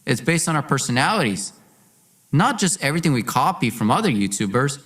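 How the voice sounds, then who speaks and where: A man's voice: mid-range voice, very animated, brisk, a bit bright, dry recording. Man telling a personal story, dry close sound. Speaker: podcast host. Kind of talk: personal storytelling with re-enacted dialogue.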